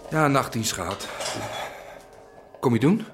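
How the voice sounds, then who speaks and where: An actress playing an awkward, apologetic lover in a naturalistic radio drama. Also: female voice, extremely low pitch, sing-song, slow pace, noisy background, heavily acted.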